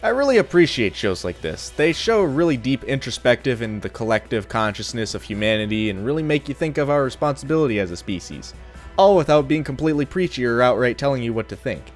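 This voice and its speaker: A man's voice: mid-range voice, big pitch swings, fast talker, soft background music. A young adult man reading a scripted review in a casual, opinionated, sardonic way. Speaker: video reviewer.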